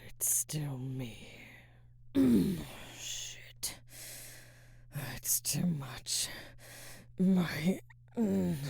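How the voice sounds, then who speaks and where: A woman playing a conflicted, protective alpha werewolf in an intimate ASMR roleplay, husky and halting, often slipping into a whisper. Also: sits low, mellow, dry recording, clean studio sound, full character voice. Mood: strained, menacing. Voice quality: husky, breathy, frequently dropping to a whisper. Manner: halting, hesitant, sighing. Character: adult female alpha werewolf, conflicted, protective, barely restrained.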